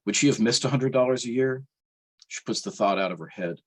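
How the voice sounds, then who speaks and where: A man's voice: mid-range voice, a little fast. A man reading aloud from his own novel as its author, in a wry literary storytelling style.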